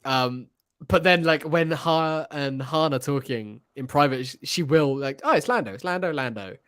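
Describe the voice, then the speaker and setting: A man with a slightly high voice talks casually on a fan podcast. He is animated, often slipping into little mock quotations as he makes his point. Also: moderate pace, clean tone. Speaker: podcast host.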